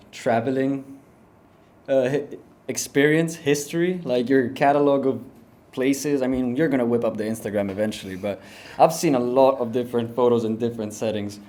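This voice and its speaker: A man's voice: medium pitch, normal speed, warm tone. A young man hosting a casual podcast, upbeat and slangy, rambling and restarting his sentences. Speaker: podcast host.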